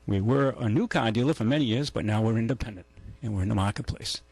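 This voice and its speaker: Middle-aged guy hosting a radio call-in, gravelly husky voice, loose and rambly. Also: on the low side, fast talker. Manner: relaxed, rambling with fillers. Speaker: radio talk show host, car dealer. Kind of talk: casual call-in radio chat.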